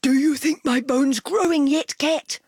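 Woman playing an excitable, loud storybook dog. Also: high-pitched, unhurried, dry recording, clean studio sound, pitched above the performer's own voice. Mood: eager, impatient. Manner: eager, exclamatory, repeats words. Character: excitable, impatient, naive male pet dog. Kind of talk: children's storybook character voice.

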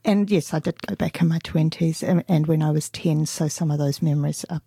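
A middle-aged woman born in England and raised in New Zealand, answering interview questions softly and hesitantly. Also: low-pitched, fairly even intonation, brisk, slightly rough, no echo, clean studio sound. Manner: hesitant, soft-spoken, laughs often. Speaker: guest.

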